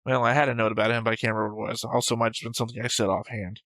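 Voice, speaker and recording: A man's voice: mid-range voice, little pitch movement, rapid-fire, a bit bright, no room sound. A man co-hosting an anime reaction show, chatting casually and unscripted in a dry, teasing way. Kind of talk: casual unscripted banter and commentary.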